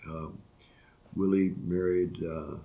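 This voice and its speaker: A man's voice: low voice, fairly even intonation, dark tone. An older man, a retiring judge from Florida, answering interview questions reflectively, with frequent restarts and fillers. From Florida, USA. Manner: halting, many restarts and fillers. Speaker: retiring circuit court judge.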